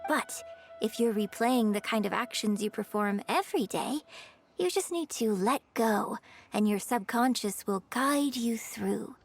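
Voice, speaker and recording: A woman playing a poised young opera performer in a video game, speaking as a patient, articulate acting mentor in measured phrases. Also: on the high side, animated, soft background music. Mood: patient, instructive.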